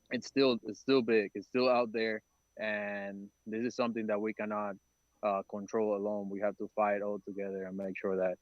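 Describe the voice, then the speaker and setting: Halting low-voiced man, flat delivery. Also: no room sound, highs cut off. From Dominican Republic. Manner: halting, many "uh" fillers and restarts. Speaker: nonprofit testing organizer. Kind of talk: briefing a council meeting.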